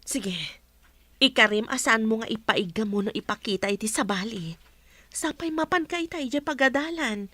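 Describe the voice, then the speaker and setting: A woman's voice: a little high, normal speed, bright, forceful tone, no room sound. A woman playing a protective, cautious mother talking to her child in a radio drama. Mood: firm, cautious. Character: protective mother, firm, cautious and gently yielding.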